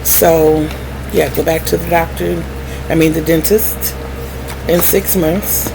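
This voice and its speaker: A middle-aged woman vlogger shares personal stories at a very slow pace. Her voice sits a little low, and she is candid and wry, acting out remembered exchanges. There is clear noise behind her throughout the recording. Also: fairly even intonation. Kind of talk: candid personal storytelling to viewers. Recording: clearly noisy background hum.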